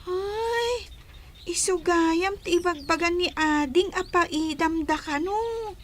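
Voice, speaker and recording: A woman's voice: extremely high pitch, slow, a little hiss or hum. A woman playing a feisty young schoolgirl in a radio drama, pert and defiant in her retorts. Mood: indignant. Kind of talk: Filipino radio drama acting.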